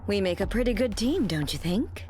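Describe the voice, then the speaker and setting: A woman voicing a calm, thoughtful woman soldier in a game. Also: medium pitch, normal speed, close to an everyday voice. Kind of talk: game voice acting. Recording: game audio over faint music.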